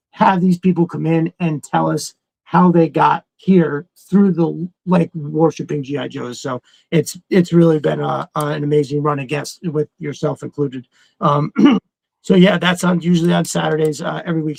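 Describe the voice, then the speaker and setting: A man's voice: fairly high pitch, gravelly, darkish tone, no room sound, rough recording. A man co-hosting a live stream in a casual, enthusiastic and agreeable way. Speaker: regular live stream co-host. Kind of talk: casual fan chat.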